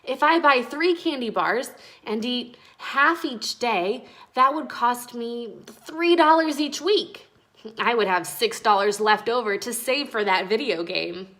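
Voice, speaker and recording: A woman's voice: a little high, expressive, slow, a bit bright, heavily acted. A woman voicing an enthusiastic, thoughtful young schoolboy in a storybook, earnestly thinking aloud. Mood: earnest, keen.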